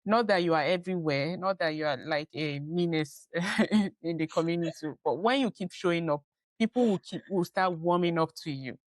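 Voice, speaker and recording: A woman's voice: fairly low pitch, normal speed, dry recording. A woman podcast host in a conversational interview, encouraging, often murmuring agreement and laughing easily.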